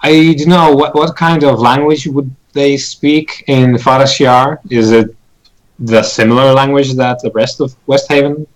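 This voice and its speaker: A man playing a tabletop game, describing his character's actions haltingly as he thinks aloud. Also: mid-range voice, steady tone, low-quality recording.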